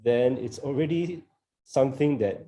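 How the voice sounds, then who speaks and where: A man from Singapore with a Singaporean accent, sharing his thoughts in a relaxed group conversation on a video call, often laughing and filling pauses. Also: mid-range voice. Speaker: co-organiser of a discussion group.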